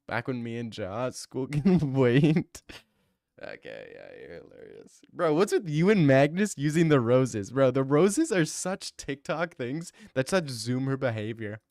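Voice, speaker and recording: A man's voice: medium pitch, very animated, unhurried, gravelly, a little mellow, no echo, clean studio sound. A young man streaming and answering viewer comments in a casual, teasing way, full of mock bravado.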